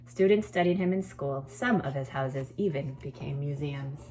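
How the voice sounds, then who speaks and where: A woman's voice: deep voice, moderate pace, mellow, a little hiss or hum, poor audio. A woman teacher speaking to pupils, as in a classroom storytime and lesson. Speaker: teacher reading a picture book to pupils. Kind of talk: expressive storybook read-aloud, then informal assignment explanation.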